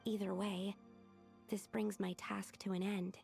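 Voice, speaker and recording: A young female alchemist in a video game. Her mid-pitched voice is earnest and analytical, laying things out precisely over faint music. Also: little pitch movement, a little slow, gravelly, darkish tone. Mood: thoughtful. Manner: precise, explanatory.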